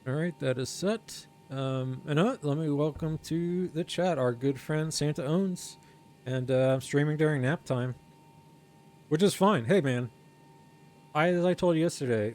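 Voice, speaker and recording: A man's voice: a little high, darkish tone. A man streaming a flight sim, explaining each step in casual commentary while thinking aloud and reading out numbers carefully. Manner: reads out numbers slowly and carefully.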